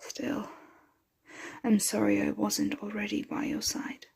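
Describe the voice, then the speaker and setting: A woman voicing a possessive, protective, motherly vampire in an intimate ASMR roleplay, breathy and often dropping into a whisper. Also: medium pitch, completely flat delivery, a little mellow, heavily acted. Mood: soothing, protective. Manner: slow, intimate, soothing address to a dear one.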